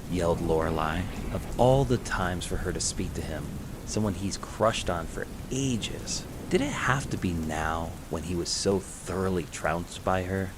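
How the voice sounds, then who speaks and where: A man's voice: low-pitched, lively intonation, a little slow, few pauses, background noise. A man reading scripted fan fiction as the narrator, telling the story in prose.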